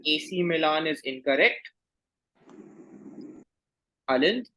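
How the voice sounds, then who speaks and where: A man hosting a quiz, reading questions and announcing results in a courteous, formal, measured way. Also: fairly high pitch, animated, pushed and bright, poor audio.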